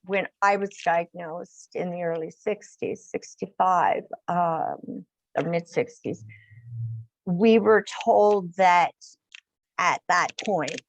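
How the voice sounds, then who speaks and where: An older woman hosting a conversational interview, speaking in a halting way with phrases that trail off. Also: lowish voice, lo-fi sound. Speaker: host interviewing guests.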